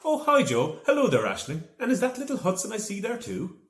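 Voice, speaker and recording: A man voicing an absent-minded, excitable male neighbour in a children's storybook, in an exclamatory way. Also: sits high, a little fast, pitched above the performer's own voice, exaggerated voice. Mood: absent-minded cheer. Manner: exclamatory, flustered. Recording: echoey room.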